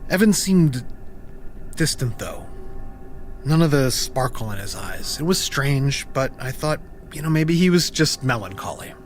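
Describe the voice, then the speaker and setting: An actor playing a wistful, candid former youth pastor in a naturalistic audio drama, telling his story in a reflective, halting way. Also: mid-range voice, slight background noise, close to an everyday voice.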